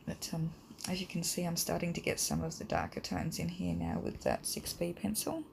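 A woman with a croaky voice from hay fever, teaching a drawing lesson in a relaxed, rambling way with many ums. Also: on the low side, moderate pace, background noise. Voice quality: croaky, gravelly. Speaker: art teacher. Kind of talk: casual drawing tutorial voice-over.

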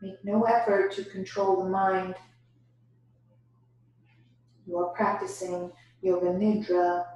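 A woman leading a guided yoga nidra meditation as instructor, giving deliberate instructions broken by pauses. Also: lowish voice, level tone, a little mellow, muffled recording, a little hiss or hum. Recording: very echoey room, distant microphone.

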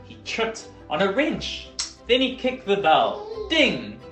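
Someone reading a children's story aloud in an expressive, deliberate way, leaning into the sound words. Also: medium pitch, faint music behind. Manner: animated, deliberate, emphatic on dialogue and sound words. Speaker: volunteer storybook reader. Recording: roomy echo.

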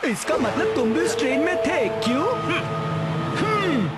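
A pompous mustached cartoon detective, high lively voice, music behind. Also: male voice, unhurried, exaggerated voice. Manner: pompous, theatrical. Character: bumbling self-important mustached male detective. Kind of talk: Hindi cartoon dub.

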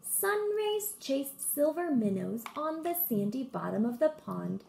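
Woman, high voice, slow pace, expressive children's read-aloud, emphatic phrasing. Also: creaky, a little hiss or hum. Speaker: storybook reader for children. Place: room at home.

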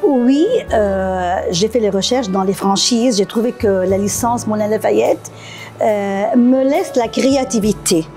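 A woman's voice: mid-range voice, unhurried, mellow, soft background music. A middle-aged woman from Lebanon with a Lebanese accent, answering interview questions conversationally as a café owner. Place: indoor café.

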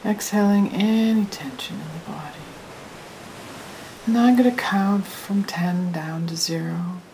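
A woman leading a guided meditation as a hypnotic induction, soothing and often dropping to a breathy near-whisper. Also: lowish voice, a little slow, rough recording. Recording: hissy recording with steady noise.

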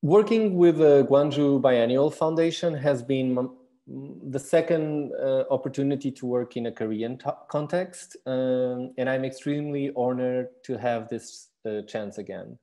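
A man's voice: medium pitch, unhurried, warm tone. A man in his thirties from Portugal, speaking English with a Portuguese accent, explaining his design work thoughtfully with many fillers and restarts. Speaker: architect and exhibition designer. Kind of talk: recorded talk answering questions.

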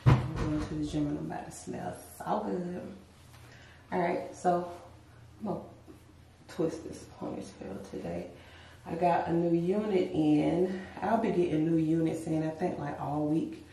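A woman's voice: low-pitched, a little slow, a little hiss or hum. Chatty woman vlogger in an echoey room. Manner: relaxed, chatty, addresses viewers as y'all. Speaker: lifestyle vlogger. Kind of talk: casual vlog chat to camera. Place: bedroom at home, later a car. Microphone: camera a few feet away. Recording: roomy echo, distant from the mic.

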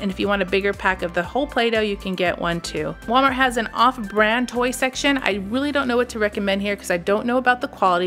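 An upbeat woman in her thirties narrates with cheerful recommendations, her voice at a middling pitch. She talks like a mom sharing finds with other parents. Faint music sits underneath. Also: fairly bright tone. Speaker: channel host. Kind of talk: scripted shopping narration.

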